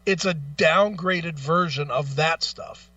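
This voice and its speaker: A man's voice: on the high side, slow pace, sharp tone, no room sound, distant mic, highs cut off. A man hosting a talk show, ranting casually in a blunt, profane, emphatic way and arguing through rhetorical questions.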